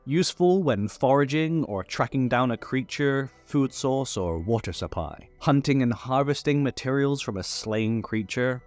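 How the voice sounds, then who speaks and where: Male tutorial host: scripted, instructional read with playful asides, animated intonation, light music bed. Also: mid-range voice, moderate pace, hardly any pauses. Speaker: host of a tabletop game tutorial. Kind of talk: scripted explainer narration.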